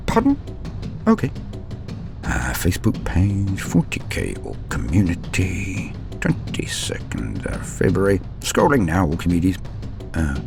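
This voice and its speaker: A man's voice: low-pitched, vocal fry, full character voice. A man playing a flustered, placating loremaster in a comic one-sided phone call, hesitant, muttering and theatrical. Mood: grumbling, defensive.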